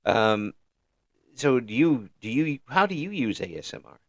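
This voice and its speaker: A man in his fifties hosting a casual chat interview, talking in a halting, rambling way with repeated words. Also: medium pitch, very animated, gravelly, dry recording. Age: 50s. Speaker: interview show host.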